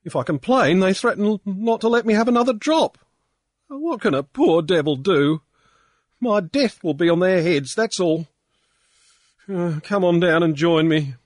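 A man voicing a self-pitying drunken young gentleman who blubbers and whines in a high, loud voice. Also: lively intonation, moderate pace, no echo, studio quality. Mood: blubbering. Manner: blubbering, sobbing and whining.

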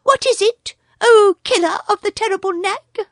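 A woman's voice: extremely high pitch, normal speed, fairly bright tone, dry recording, studio quality, pitched above the performer's own voice. A woman voicing a small, boastful male tailorbird who sings his praise in a sing-song voice.

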